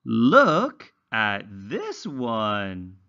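A man's voice: lowish voice, wildly swooping pitch, extremely slow, pushed and bright, highs cut off. A man teaching young children in a read-along lesson, enunciating carefully with exaggerated, instructional intonation. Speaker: English teacher for young learners.

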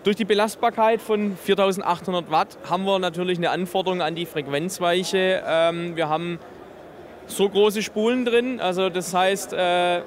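A man in his thirties with a noticeably high voice presents a product as an exhibitor. He explains technical details with enthusiasm, speaking into a handheld interview microphone. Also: steady tone, normal speed, slight background noise. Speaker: exhibitor presenting a loudspeaker system. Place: busy trade fair booth in a large hall. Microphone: handheld interview microphone held by interviewer.

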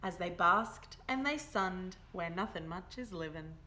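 A young woman reads a picture book aloud to children in an expressive, emphatic storytelling style. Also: lowish voice, measured pace. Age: young adult. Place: small indoor room by a window.

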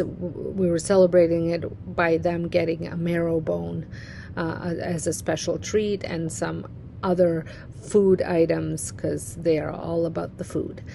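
A woman's voice: fairly low pitch, vocal fry, warm tone, slight background noise. A middle-aged woman giving a casual, rambling walkthrough of her basement craft room, full of fillers, recorded on a phone camera. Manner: chatty, rambling, with frequent fillers and restarts. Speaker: home organizing vlogger. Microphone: phone camera, handheld and on a stand.

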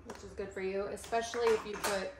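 A woman's voice: mid-range voice, flat delivery, fast talker. A young woman chatting casually while cooking lunch in her home kitchen, with self-mocking asides. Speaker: vlogger. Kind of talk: casual cooking vlog chat. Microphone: camera mic from a few feet away. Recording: echoey kitchen, faint cooking noise.

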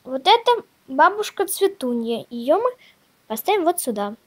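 A female host chatting casually in a toy unboxing video, showing things step by step in a simple, halting way. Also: sits high, big pitch swings. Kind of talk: casual unboxing vlog chat.

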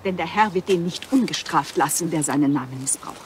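A woman's voice: deep voice, expressive, fairly quick, a little hiss or hum. An actress playing a stern, pious Mother Superior who speaks in a severe, formal, preaching manner in a TV drama. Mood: reproachful. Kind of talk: TV drama acting.

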